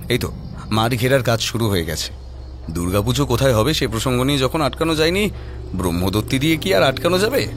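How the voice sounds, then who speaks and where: Animated male storyteller over music. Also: mid-range voice. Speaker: narrator. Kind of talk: dramatic scripted horror story narration.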